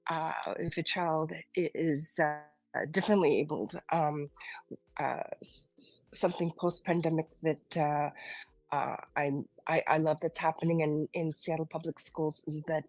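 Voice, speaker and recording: A woman from the Philippines, a kindergarten teacher whose first language is not English, speaking in an online panel with halting, earnest remarks. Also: on the low side, no echo, rough recording. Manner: halting, searching for words, earnest.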